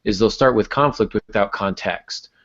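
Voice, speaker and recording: Low-voiced male podcast host, casual. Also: normal speed, fairly bright tone, dull audio. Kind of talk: casual conversational discussion.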